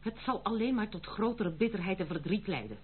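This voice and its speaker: A woman's voice: mid-range voice, animated, fairly quick, natural voice. An actress in a radio play playing a strong-willed young noblewoman, formal, firm and reproachful. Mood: firm, stern. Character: strong-willed, stern young noblewoman protecting her household. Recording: tinny, phone-like old radio play, slight hum.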